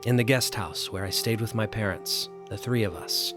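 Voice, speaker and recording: A male actor plays a troubled, bookish man in an audio drama. His voice sits fairly low, and he talks in a hesitant, reflective way, as if turning over old memories. Music plays softly behind him. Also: lightly acted. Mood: brooding, reflective. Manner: hesitant, halting, introspective. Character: troubled, bookish, melancholy man revisiting childhood holiday guesthouse.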